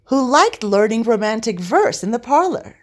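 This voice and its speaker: Woman voicing a sly, mischievous knight, animated. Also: fairly high pitch, moderate pace, pitched above the performer's own voice. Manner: mock-formal, mischievous. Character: sly, playful knight, male, mock-courteous.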